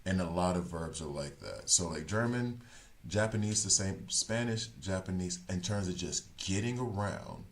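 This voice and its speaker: A man with a notably low voice chats casually on a game stream. He rambles, restarts his sentences and corrects himself as he works his thoughts out aloud. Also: expressive, moderate pace. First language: English. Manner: talks things through as he thinks. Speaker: gaming streamer.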